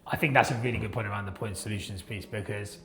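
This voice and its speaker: A man's voice: fairly low pitch, steady tone, fast talker, gravelly, fairly bright tone. A man in a casual podcast discussion, speaking informally and with opinion, acting out remarks he quotes. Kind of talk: casual industry chat. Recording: echoey room sound.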